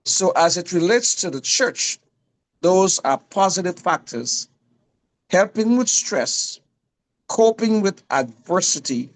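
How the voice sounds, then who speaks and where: A man with a Guyanese accent making emphatic, deliberate panel remarks, repeating his phrasing. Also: high voice, lively intonation, low-quality recording.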